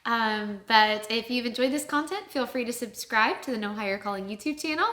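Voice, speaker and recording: A woman's voice: a little high, a little fast, sharp tone, reverberant. A woman co-hosting a vlog, talking in a casual, chatty way. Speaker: vlog co-host. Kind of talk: casual chat.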